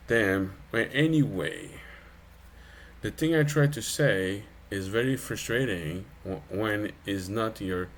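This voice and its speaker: A man in his forties, a non-native speaker of English, vents into the camera for his own channel. He talks very slowly in a lowish voice, rambling and stopping to restart his sentences, sounding plainly frustrated. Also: fairly bright tone. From outside Canada, moved to Quebec as a child. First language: neither English nor French. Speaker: YouTube vlogger. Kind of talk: casual complaint monologue.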